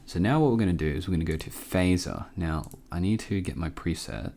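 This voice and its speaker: A man hosting a casual software tutorial, informal and a bit hesitant as he thinks aloud. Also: sits low, animated, a little fast, slight background noise. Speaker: tutorial host.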